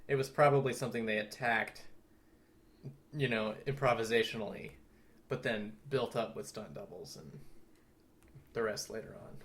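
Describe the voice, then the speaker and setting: A man co-hosting a podcast, talking through film history conversationally in a measured, explanatory and thoughtful way. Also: on the low side, fairly bright tone. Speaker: podcast co-host.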